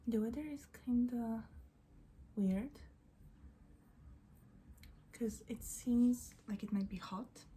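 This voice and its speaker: Soft-spoken, slow non-native English woman. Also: on the high side, slight background noise. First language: not English. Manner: soft, often half-whispered, rambling. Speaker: beauty and book vlogger. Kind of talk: get-ready-with-me chat. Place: at home in front of a mirror.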